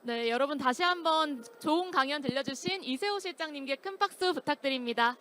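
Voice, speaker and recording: A woman's voice: high-pitched, lively intonation, a little fast, pushed and bright. A woman hosting a conference session, with formal, very polite announcer phrasing. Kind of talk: formal, scripted-sounding event hosting. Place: conference hall stage, amplified talk.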